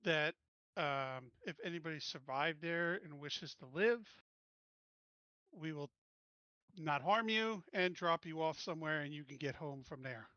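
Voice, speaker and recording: A man's voice: a little high, expressive, unhurried, bright, forceful tone, no echo, lo-fi sound. A man playing a tabletop game, earnestly reasoning aloud in casual group chat.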